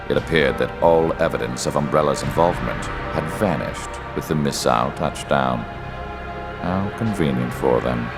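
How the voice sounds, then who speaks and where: An actor playing a cold, calculating schemer in a video game, speaking in a measured, smug and menacing way with a gravelly voice. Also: male voice, a bass voice, exaggerated voice. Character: cold, calculating male schemer narrating corporate downfall. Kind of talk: dramatic video game cutscene acting and narration. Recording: music behind the voice.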